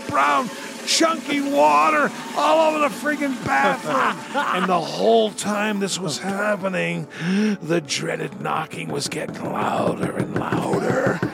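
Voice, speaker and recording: A man co-hosting a podcast, telling crude comedic stories in a profane, animated way with frequent laughs. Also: high voice, vocal fry. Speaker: podcast co-host. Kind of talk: crude comedic storytelling and banter.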